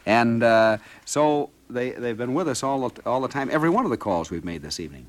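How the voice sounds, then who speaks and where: A man's voice: medium pitch, animated, brisk. A man hosting a live radio call-in show, genial and chatty. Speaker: radio host.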